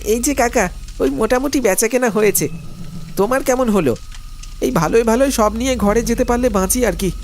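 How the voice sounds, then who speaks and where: A woman's voice: a little high, brisk, darkish tone, slight background noise, natural voice. A woman voicing a young village boy who sells jaggery, earnest and anxious, in colloquial cartoon dialogue. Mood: chatty. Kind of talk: animated ghost story dialogue.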